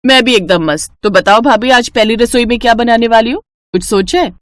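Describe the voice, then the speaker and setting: A woman's voice: fairly high pitch, a little fast, dry recording, studio quality, natural voice. A woman voicing a playful young sister-in-law in a family storybook tale, chirpy, informal and teasing. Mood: chirpy, curious. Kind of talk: acted Hindi family storybook dialogue.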